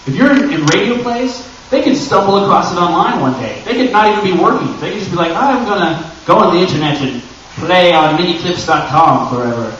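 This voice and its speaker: A man with a slightly high voice presents an informal convention panel in a very echoey room. He is picked up from well away from the mic, with muffled sound and some noise. His delivery is animated and jokey, as he addresses the people in front of him. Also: a little fast, hardly any pauses. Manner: animated, joking, acts out little imagined dialogues. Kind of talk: informal panel talk to a live audience. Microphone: camera microphone at a distance. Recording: echoey room, distant mic, dull noisy audio.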